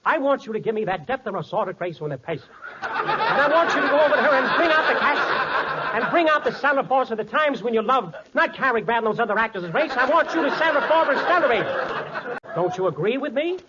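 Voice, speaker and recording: An actor playing an excitable, pompous male film director who blusters in garbled double-talk in 1940s radio comedy. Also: extremely high pitch, a little fast, a loud crowd. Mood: pompous, coaxing. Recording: dull 1940s radio broadcast, studio audience.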